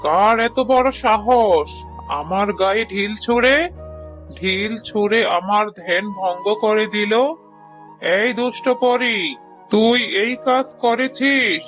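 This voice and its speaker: A wicked hermit sage, acted by a man, loud and menacing in a high male voice. He is a wrathful cartoon villain who speaks slowly, with faint music behind. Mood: furious. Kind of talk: children's cartoon villain dialogue.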